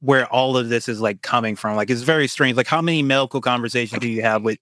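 A man co-hosting a casual online chat, opinionated and loose, filling his sentences with "like". His voice is mid-pitched and sounds close and dry. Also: fast talker, a bit bright, dry recording. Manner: casual, heavy use of "like". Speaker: co-host.

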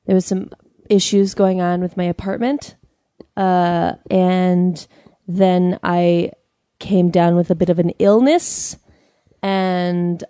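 A woman's voice: lowish voice, warm tone, dry recording, studio quality. A young woman co-hosting a casual chat show, talking bluntly and wryly. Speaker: podcast co-host.

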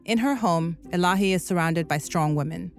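A woman narrates a documentary podcast with a steady, earnest, scripted delivery. Her voice sits somewhat low, and soft music runs faintly beneath it. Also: normal speed.

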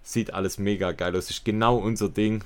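A man's voice: on the low side, fairly even intonation, brisk, clean tone. A man chatting casually as a podcast co-host, enthusiastic and colloquial with bits of English slang. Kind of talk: casual podcast chat and product review.

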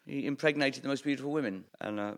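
A man playing a self-styled evil genius who now rules the world, deadpan and rambling, with stammered restarts and chuckles, before a live theatre audience. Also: medium pitch, fast talker, close to an everyday voice. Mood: deadpan, smug. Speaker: comedian playing a new supreme commander of the world. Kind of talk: improvised comic interview in character.

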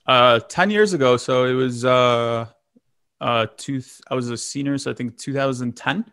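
A man's voice: medium pitch, normal speed, fairly bright tone, clear, resonant tone, dry recording, studio quality. A man in his late twenties from Lithuania, telling his story in a casual conversation, rambling with many fillers and restarts. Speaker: guest.

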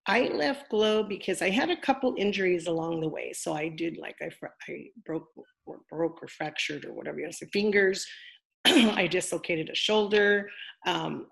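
Older woman, deep and gravelly, chatty interview guest, rambling with fillers. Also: lively intonation, moderate pace, a bit bright. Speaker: former wrestler, interview guest. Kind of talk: casual interview.

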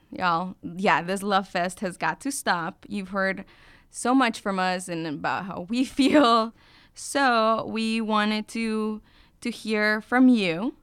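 A woman's voice: medium pitch, fairly bright tone, smooth. A woman co-hosting a podcast, chatting casually and laughing with her co-host.

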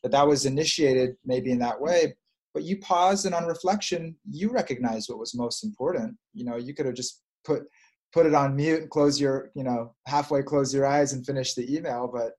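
A man leading a prayer and then talking gently and reflectively, repeating phrases for emphasis. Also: mid-range voice, normal speed. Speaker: prayer leader. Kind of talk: spiritual chat and prayer.

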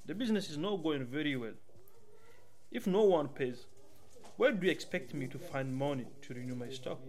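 An actor playing a firm but concerned shopkeeper in an audio drama. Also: male voice, a little high, lively intonation, normal speed, a little mellow, natural voice. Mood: firm, exasperated. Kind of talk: radio drama acting.

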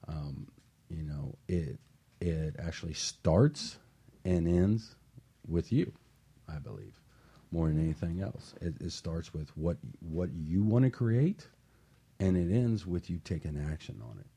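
Really deep guy, slightly husky, earnest halting panel talk. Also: animated, warm tone. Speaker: panel guest. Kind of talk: casual panel discussion.

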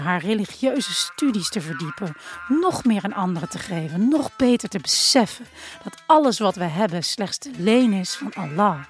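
A woman tells a first-person story as the narrator of an audio drama. Her voice sits at a middling pitch, with a reflective manner and music playing behind her.

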